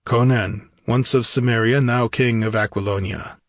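A man's voice: mid-range voice, steady tone, moderate pace, no room sound, clean studio sound, lightly acted. A man voicing a bold, plain-spoken barbarian king in a fantasy audiobook. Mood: matter-of-fact.

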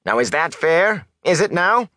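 A man voicing a ragged, nervous peddler in an audiobook, with a rasping, whining voice and rustic turns of phrase. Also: a little high, big pitch swings, a little slow, sharp tone, dry recording, studio quality, voice pushed up, exaggerated voice. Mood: aggrieved, whining. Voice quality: rasping, sometimes dropping to a hoarse whisper. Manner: rustic dialect, nervous, wheedling rhetorical questions.

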